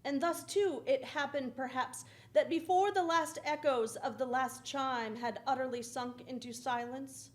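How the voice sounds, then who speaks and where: A young woman performer giving a theatrical, measured dramatic reading of gothic literature, with deliberate phrasing. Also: high-pitched. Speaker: stage performer. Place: theatre stage, standing at a lectern.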